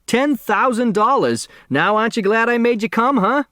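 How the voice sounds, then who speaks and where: A man voicing an excitable, eager older man in an audiobook, exclaiming in a high voice. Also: moderate pace, no room sound, clean studio sound, heavily acted. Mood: excited.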